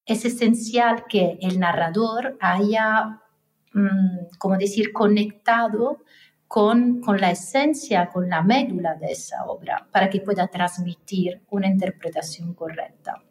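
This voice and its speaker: A woman's voice: mid-range voice, a little slow. A woman host posing a long, conversational question, with fillers and small restarts. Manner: conversational, explains at length with fillers. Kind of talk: podcast interview.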